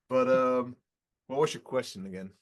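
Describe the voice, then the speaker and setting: Twenties rock singer guy, stops and starts, chuckles a lot, middle pitch. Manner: halting, laughs often between phrases. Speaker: rock band singer, guest. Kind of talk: casual interview answers.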